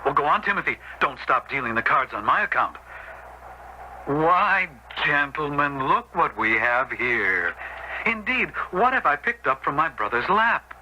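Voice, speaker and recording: Sharp-voiced, sanctimonious brother. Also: male voice, on the high side, very animated, lo-fi sound, heavily acted. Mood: righteously exposing. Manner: pleading, righteous, deliberate. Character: earnest, sanctimonious, moralizing brother, a decent man. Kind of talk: radio drama acting. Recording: slightly noisy old radio recording.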